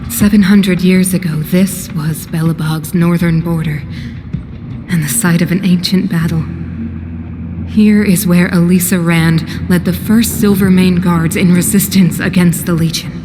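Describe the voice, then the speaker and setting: A woman playing a solemn, fanatical ruler and mother in a video game, speaking in a grave, measured, oratorical way. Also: lowish voice, steady tone, music in the background, natural voice. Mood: solemn, cold.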